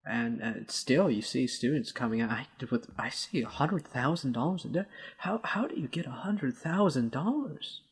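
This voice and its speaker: A man co-hosting a talk show, debating casually in a halting, wordy way with formal turns of phrase. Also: medium pitch, animated, breathy edge.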